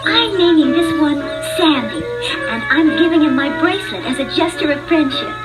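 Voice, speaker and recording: High-voiced gentle young princess. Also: female voice, lively intonation, moderate pace. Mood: tender. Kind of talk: dramatized children's storybook acting. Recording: loud music under the voice.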